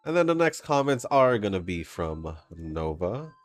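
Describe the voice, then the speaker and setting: A man's voice: on the low side, big pitch swings, measured pace. A man hosting a gaming channel, chatting casually over gameplay with a stuffy, congested nose, rambling and laughing between comments. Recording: faint game music behind. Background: soft game music.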